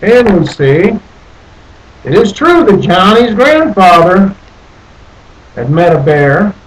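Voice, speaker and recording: A man reading a picture book aloud as a storyteller, deliberately, with questions and asides to his young listeners. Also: high-pitched, very animated, a little hiss or hum, rough recording. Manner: deliberate, asks the listeners questions and repeats phrases.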